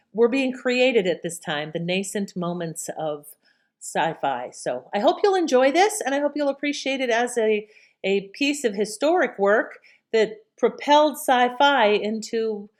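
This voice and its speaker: A woman giving a casual host's introduction to an audio drama. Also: medium pitch.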